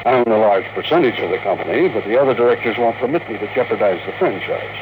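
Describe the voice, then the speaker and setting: A man's voice: on the low side, lively intonation, quick pace, close to an everyday voice. An actor playing a wistful, wealthy elderly man in an old-time radio drama. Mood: genial, persuasive. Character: elderly wealthy tycoon, wistful, dreaming of the moon. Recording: muffled, noisy old radio recording.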